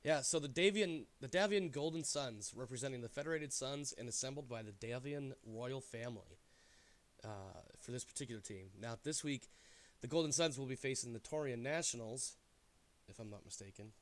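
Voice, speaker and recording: A man hosting a game stream in casual chat, sounding stuffy, animated and jokey, often trailing off into asides. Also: medium pitch, moderate pace.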